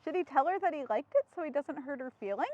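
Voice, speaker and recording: A woman hosting an interactive children's storytime, expressive and full of questions for young listeners. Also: sits high, fast talker, no echo, lo-fi sound. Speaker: storytime host reading to children.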